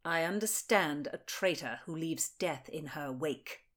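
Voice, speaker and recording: A menacing noblewoman speaks low, slow and icy, as a woman plays her. Her threats come soft and controlled. It is a period audiobook reading. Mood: accusing. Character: cold, menacing noblewoman interrogator.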